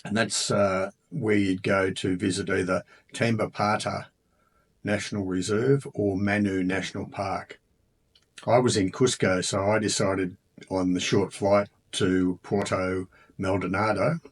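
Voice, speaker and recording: An Australian man with a slightly low, husky voice talks through his travels in an easygoing, chatty way. He fills pauses with ums and uhs and pokes fun at himself. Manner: relaxed, chatty, many ums and uhs, self-mocking. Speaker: travel vlogger. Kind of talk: casual travel narration.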